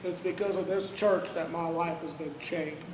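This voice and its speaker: A man in his thirties, originally from Kansas City, giving a loud, rambling tribute to his pastor without a microphone. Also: on the high side, fairly even intonation, normal speed, roomy sound, background noise. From Kansas City, Missouri. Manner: loud, unmiked, rambling with many "uh"s. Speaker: church member giving a tribute. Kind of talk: informal heartfelt tribute speech. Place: large church fellowship hall. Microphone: no microphone, picked up from across the room.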